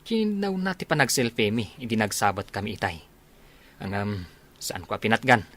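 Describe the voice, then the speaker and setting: A man's voice: fairly low pitch, big pitch swings, normal speed, fairly bright tone, full character voice. A man with an Ilocano accent playing a frank young village friend in a comedy radio drama. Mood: frank, teasing.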